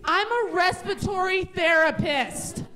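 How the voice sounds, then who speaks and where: A woman's voice: extremely high pitch, unhurried. A woman performing a chatty, self-mocking stand-up comedy set on a stage in front of a live audience. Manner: chatty, self-mocking, loud character bits. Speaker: stand-up comic. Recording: audience noise in a live venue. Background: audience laughter.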